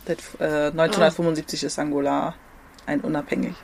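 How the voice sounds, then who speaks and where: A woman's voice: low voice, level tone, quick pace, vocal fry, a little mellow, slight background noise. A young woman from Germany, talking casually as an interview guest, hesitant with many fillers and frequent laughs. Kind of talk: casual conversation.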